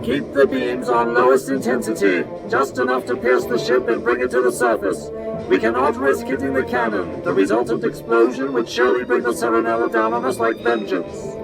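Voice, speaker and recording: Man acting a gravelly alien warship commander. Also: high-pitched, sing-song, brisk, exaggerated voice. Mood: cold, calculating. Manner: imperious, formal orders. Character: commanding alien battle-cruiser commander, patient and ruthless. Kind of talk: science fiction audio drama acting. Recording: distant voice under loud music.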